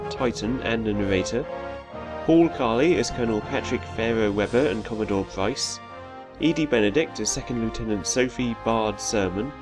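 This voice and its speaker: A man narrating the scripted credits of an audio drama. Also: mid-range voice.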